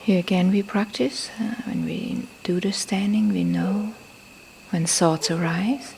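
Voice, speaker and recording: A woman who is a non-native English speaker leads a guided meditation as a teacher, speaking softly and breathily, often near a whisper, in gentle, deliberate short phrases with pauses. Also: medium pitch, steady tone, gravelly. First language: not a native English speaker. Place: temple in Thailand. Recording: faint background noise, live field recording.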